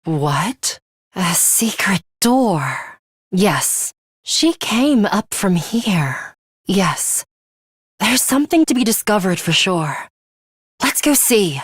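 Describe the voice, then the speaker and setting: A woman's voice: medium pitch, very animated, slow, slightly rough, no echo, clean studio sound, exaggerated voice. An actress playing a stern, composed young woman fighter in an English video game, blunt and guarded, with clipped, formal, matter-of-fact delivery. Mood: commanding, terse.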